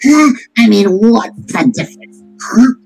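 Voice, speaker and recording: A woman storyteller voicing a greedy, rude witch with a raspy, cackling voice. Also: a little high, animated, measured pace, darkish tone, faint music behind, full character voice. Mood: wondering. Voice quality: raspy, gravelly.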